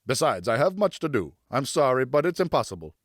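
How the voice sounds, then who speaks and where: A man voicing a big, kindly but firm blacksmith in a storybook, measured and amused as he refuses. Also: mid-range voice, big pitch swings, dry recording, studio quality.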